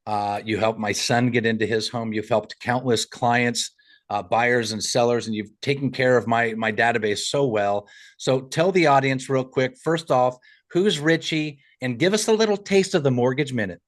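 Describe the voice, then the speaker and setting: A man hosts a live podcast call, friendly and encouraging as he introduces guests. He keeps the talk moving and builds excitement. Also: mid-range voice, normal speed. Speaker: podcast host. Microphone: remote call.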